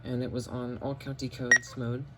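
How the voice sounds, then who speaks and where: A man's voice: mid-range voice, level tone, normal speed. Man casually demonstrating gadgets, noisy recording. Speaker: video host, hobbyist collector. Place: indoors in a home basement. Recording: clearly noisy home camera recording.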